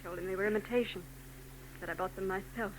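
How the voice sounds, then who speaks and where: An actress playing a troubled young married woman in a 1940s radio drama, soft and hesitant. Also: medium pitch, fairly even intonation, fast talker, lightly acted, low-quality recording. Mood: wistful. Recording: old radio broadcast with hum.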